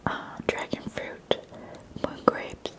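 A young woman whispering softly and chatting through an ASMR eating video, her nose stuffy from allergies, close to a binaural mic. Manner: soft unvoiced whisper, slow and chatty. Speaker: ASMR host. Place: indoors at a table.

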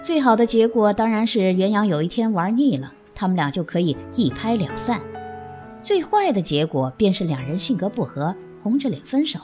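A woman's voice: mid-range voice, very animated, moderate pace, dark tone, soft background music. A woman narrating an audio drama from a script, telling the story between scenes.

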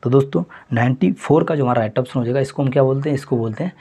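A man with a husky, slightly breathy voice works through a mock test as a teacher. His pitch sits in an ordinary middle range. He repeats the answers and keeps calling his viewers friends. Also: rapid-fire, mellow. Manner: repetitive, addresses viewers as friends. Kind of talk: exam question walkthrough.

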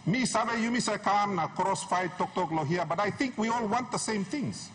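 A middle-aged man addresses parliament in a high-pitched voice. He is impassioned and emphatic, repeating phrases for rhetorical effect. The chamber microphone carries faint background noise. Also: moderate pace, a bit bright. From Papua New Guinea. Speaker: member of parliament. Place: large wood-panelled parliament chamber. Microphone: desk gooseneck microphone, standing.

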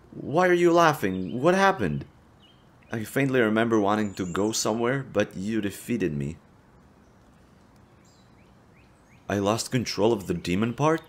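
A man's voice: lowish voice, exaggerated voice. A man playing an easygoing young half-demon adventurer, talking casually to a best friend in an audio roleplay. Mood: flustered, embarrassed. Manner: casual, teasing, chatty. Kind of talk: intimate audio roleplay acting.

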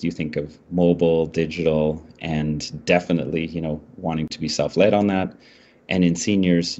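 A middle-aged man presents a startup pitch over a video call, speaking in a measured way with fillers and restarts. His voice sits low and slightly husky, with a mellow tone. The audio is muffled, like a typical video call. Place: indoor room.

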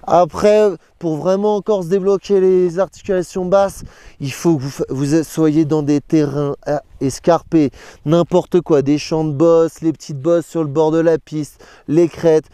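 A man's voice: on the high side, fairly even intonation, measured pace, warm tone, smooth, no echo. A man in his thirties, standing on a snowy mountain slope, explaining ski technique step by step as an instructor, with a clip-on mic. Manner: explains step by step, in first person.

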